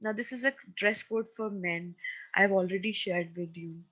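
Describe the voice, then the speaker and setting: A woman's voice: mid-range voice, normal speed, fairly bright tone. A woman with a Pakistani accent teaching a recorded lesson, speaking in an instructive, emphatic way and often checking that her listeners follow. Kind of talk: recorded lecture on presentation skills.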